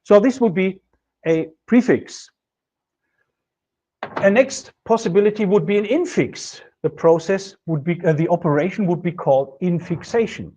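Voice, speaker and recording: A middle-aged man lectures in a classroom, explaining points step by step as he writes on a board. His voice sits a little high for a man. The sound is dull and lo-fi, picked up by a camera across the room. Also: warm tone. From Germany. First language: German. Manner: measured, explanatory, writes on a board while talking. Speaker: linguistics lecturer. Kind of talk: recorded university lecture. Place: classroom with a projection screen.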